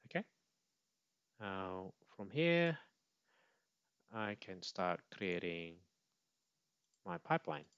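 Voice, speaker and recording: A man presenting an informal step-by-step software demo, on a slightly dull recording with mouse clicks. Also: low voice, big pitch swings, slow, long pauses, clear, resonant tone, no room sound.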